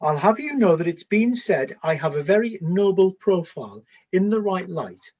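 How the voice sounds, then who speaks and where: An actor playing a bored, vain Trojan soldier who grumbles wistfully in a comic radio play. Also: male voice, high-pitched, big pitch swings, moderate pace, a little mellow, highs cut off. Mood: wounded pride. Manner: grumbling, wistful, droll bantering. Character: bored, vain, plain-looking male Trojan soldier on night watch.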